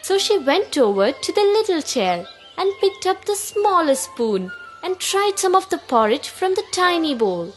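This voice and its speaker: High, slow, animated woman storyteller. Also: soft background music. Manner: deliberate, expressive storytelling. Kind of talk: scripted children's fairy tale narration.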